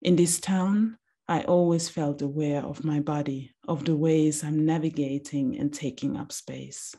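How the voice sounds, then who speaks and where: A woman in her thirties giving a slow, deliberate reading of a reflective text over a video call from a small room. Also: deep voice, fairly even intonation, warm tone, breathy edge. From Austria.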